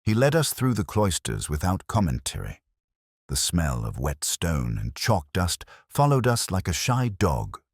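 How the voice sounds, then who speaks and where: Very deep-voiced man narrating a detective story. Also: wildly swooping pitch, a little slow, husky, dry recording, studio quality. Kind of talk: scripted first-person detective story narration.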